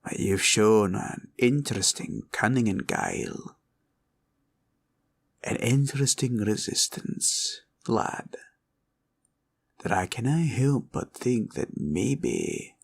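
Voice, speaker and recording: A man playing a proud, cunning green dragon in a villain roleplay audio, with a taunting drawl and a gravelly growl. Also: on the low side, wildly swooping pitch, slow, long pauses, full character voice. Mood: amused, scornful. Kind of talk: roleplay ASMR villain monologue.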